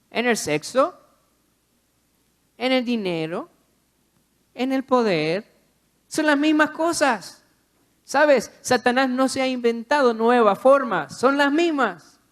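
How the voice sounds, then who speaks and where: A man preaching a sermon, exhorting the listener with repeated phrases and rhetorical questions. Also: very high voice.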